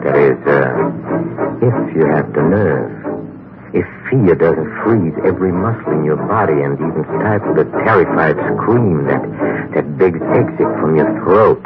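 A very deep male horror host, sinister and teasing, with slow, halting delivery. Loud eerie music plays behind him on a tinny old radio recording. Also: heavily acted. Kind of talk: 1950s radio horror narration.

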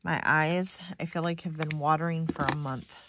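Chatty, slightly stuffy woman, a bit low. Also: steady tone, normal speed, background noise. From Michigan. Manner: rambling, chatty, many "like"s, talks to viewers. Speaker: planner vlogger. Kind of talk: casual chatty voice-over while decorating a planner.

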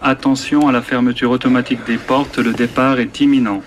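A male actor as a train crew announcer in a radio drama. The delivery is formal and welcoming at a middling pitch, with noticeable noise. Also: flat delivery, fairly quick, close to an everyday voice. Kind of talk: scripted public announcement.

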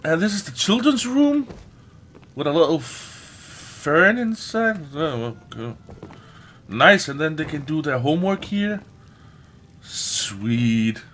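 Animated, higher-pitched man playing a game. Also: a little hiss or hum. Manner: exclamatory, often swearing, drawn-out words. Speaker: let's play host. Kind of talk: casual gameplay commentary.

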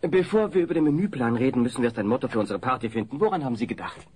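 An actor playing a fussy, sensitive party caterer with an affected sales manner in a comedy audio play. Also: male voice, medium pitch, lively intonation, rapid-fire, a little mellow, breathy edge. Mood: smug. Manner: affected, tag-question habit.

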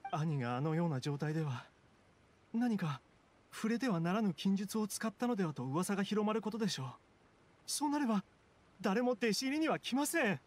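A man's voice: sits high, sing-song, normal speed. A man voicing a timid, desperate young man in an anime-style game, speaking politely and earnestly. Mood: pleading. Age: young adult. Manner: polite, earnest, anxious explaining.

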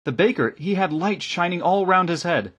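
A man's voice: high voice, expressive, normal speed, fairly bright tone, slightly rough, pitched above the performer's own voice, full character voice. A man voicing an earnest, eager young village girl in an audiobook. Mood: earnest wonder. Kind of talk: audiobook character acting.

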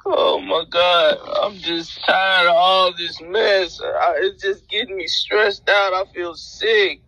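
Very high-pitched tearful American man, pleading. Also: steady tone, slow, bright, forceful tone, dry recording, rough recording. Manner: pleading, whiny. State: crying, upset. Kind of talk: emotional pleading on a phone call.

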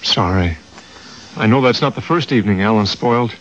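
A man's voice: on the low side, brisk, fairly bright tone, slight background noise, natural voice. An actor in a radio drama playing a worried, loyal friend, earnest and urgent in his delivery. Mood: troubled, regretful. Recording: dull sound, music behind.

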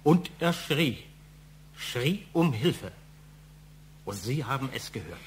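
Stern, methodical police inspector. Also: male voice, mid-range voice, very animated, slow, a little hiss or hum. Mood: cool, factual. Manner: formal, accusatory, methodical. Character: stern, probing, unruffled male police inspector. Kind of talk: German audio drama acting.

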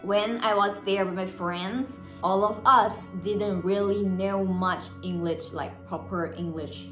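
A woman's voice: mid-range voice, slow, faint music behind. Chatty Thai woman vlogging in echoey room. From Thailand. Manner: chatty, expressive, gestures with hands. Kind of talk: casual storytelling to camera. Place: small home room, indoors.

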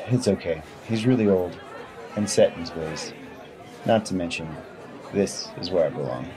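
An actor playing a gruff but kind dwarven berserker in a fantasy audio drama, terse, dry and self-deprecating. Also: male voice, sits low, normal speed, a crowd in the background, close to an everyday voice. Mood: resigned. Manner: terse, deadpan.

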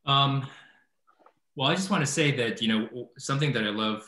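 A man, an author guest on a video call, answering in a thoughtful, measured way. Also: medium pitch, bright, forceful tone. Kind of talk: casual discussion. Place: home room. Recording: roomy video call audio.

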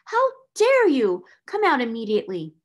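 A woman voicing a stern, cold-hearted schoolmistress who speaks in sharp, indignant commands for a storybook. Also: on the high side, normal speed, natural voice. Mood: furious.

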